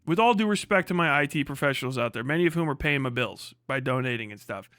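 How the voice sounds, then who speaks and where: A man's voice: mid-range voice, expressive, fast talker, fairly bright tone, no room sound, studio quality. A man, perhaps middle-aged, ranting casually on a livestream in a brash, mocking, profane and emphatic way. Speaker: livestream host.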